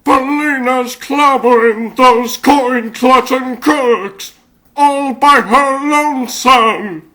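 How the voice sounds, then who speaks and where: Man playing a high-pitched heroic cartoon rabbit. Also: very slow, pushed and bright, heavily acted. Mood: grandiose, amazed. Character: bold, excitable male rabbit superhero of cartoon adventures. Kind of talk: theatrical comic book dub acting.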